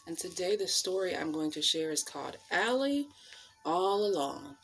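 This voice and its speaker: A woman in her thirties reading aloud to children as a storyteller, with deliberate, dramatic emphasis on key words. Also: on the low side, big pitch swings, faint music behind, rough recording. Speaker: storyteller reading a picture book. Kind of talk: expressive read-aloud for children. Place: small living room, indoors.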